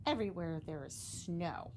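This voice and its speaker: A woman reading a children's storybook aloud, expressively, with dramatic emphasis and deliberate pauses. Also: fairly low pitch, expressive, darkish tone, slight background noise. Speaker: storybook reader.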